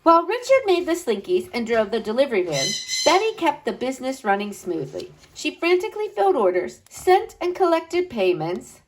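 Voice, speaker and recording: Lively, expressive woman reading aloud. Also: low-quality recording. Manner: expressive, slow dramatic emphasis with wide pitch swings. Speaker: storyteller reading to children. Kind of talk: picture book read-aloud.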